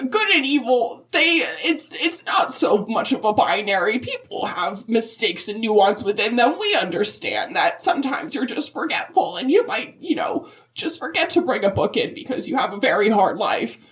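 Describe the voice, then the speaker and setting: A woman as centuries-old Christmas matriarch, highish voice, swooping intonation, chatty, opinionated, folksy, comic podcast interview. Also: big pitch swings, normal speed, few pauses, fairly bright tone. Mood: opinionated, emphatic. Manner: rambling, emphatic, folksy.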